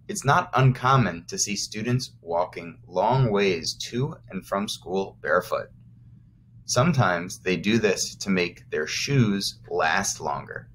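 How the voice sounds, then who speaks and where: A man's voice: lowish voice, a bit bright, a little hiss or hum. An American man, a teacher of young children, reading aloud and explaining simply as he addresses the children directly. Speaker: first grade teacher.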